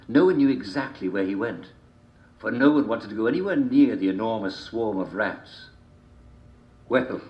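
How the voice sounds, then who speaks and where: A man tells a fairy tale in a lowish voice that rises and falls dramatically. He is deliberate and theatrical, leaning on pauses and repetition. The recording is roomy and echoing. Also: lo-fi sound. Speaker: storyteller reading a folk tale.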